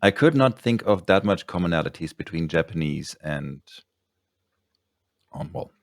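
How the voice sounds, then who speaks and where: A man's voice: deep voice, lively intonation, moderate pace, no echo, studio quality. A man hosting a podcast interview, chatting casually and rambling through his points. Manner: rambling, with many ums and restarts. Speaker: podcast host.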